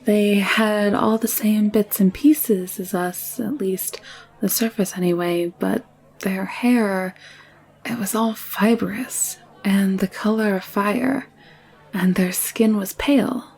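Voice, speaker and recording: A woman playing a gentle, protective alien caretaker in an intimate audio roleplay, speaking softly and soothingly. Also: medium pitch, slow pace, gravelly, husky, full character voice, rough recording. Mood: earnest, soft.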